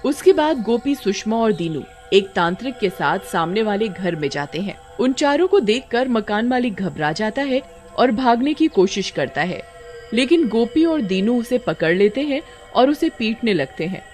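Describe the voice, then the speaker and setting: A woman giving scripted narration for an animated horror story. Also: a little high, normal speed, faint music behind.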